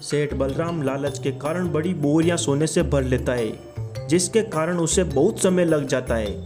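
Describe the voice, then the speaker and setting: A man narrating a children's cartoon story in a steady storytelling manner. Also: on the high side, a little slow, music in the background.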